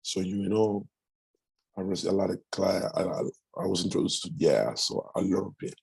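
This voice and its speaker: Middle-aged Cuban man, Spanish speaker, gravelly and husky, halting answers. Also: deep voice, a little mellow, no echo. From Cuba. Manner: halting, with frequent restarts and fillers. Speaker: musician guest. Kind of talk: interview answers.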